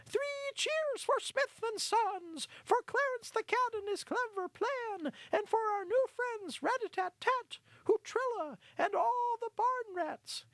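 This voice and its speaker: A storyteller voicing a jubilant little mouse shouting out cheers. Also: very high-pitched, expressive, takes it slowly, few pauses, no echo, voice pushed up, exaggerated voice. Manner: shouting, exuberant. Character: jubilant mouse leading shrill hip-hip-hooray cheers.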